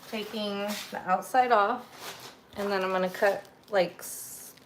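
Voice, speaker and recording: A woman hosting a cooking livestream from her home kitchen, with a raspy voice from feeling a little sick, chatting loosely as she explains each step. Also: medium pitch, fairly even intonation, measured pace. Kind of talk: casual cooking livestream chat. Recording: noisy home kitchen recording.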